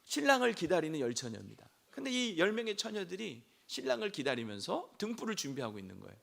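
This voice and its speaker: A man preaching a sermon as senior pastor, hoarse from a throat cold, asking the congregation questions and rising to shouts. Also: high voice, huge pitch swings, fast. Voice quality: gravelly.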